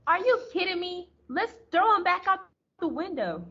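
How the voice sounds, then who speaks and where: A woman's voice: extremely high pitch, very animated, moderate pace, bright, forceful tone, full character voice. A young woman playing a sarcastic, exasperated radio actress in a comic radio play, with a sardonic delivery. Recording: dull, muffled recording.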